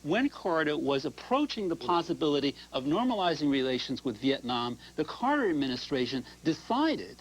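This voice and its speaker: An older man, an author and professor, speaking as the guest on a call-in TV discussion, animated and quick to cut in with agreement. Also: fairly high pitch, husky, low-quality recording.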